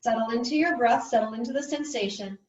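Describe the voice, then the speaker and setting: Female yoga teacher, soothing and gently instructive, recorded from a distance with slightly muffled sound. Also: fairly high pitch, fast, roomy sound, a little hiss or hum.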